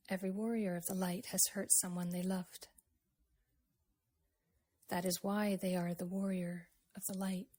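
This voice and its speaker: Female meditation guide, breathy and husky, even-toned delivery, gentle and unhurried with generous pauses. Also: mid-range voice. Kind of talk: slow guided meditation, with a poem recited.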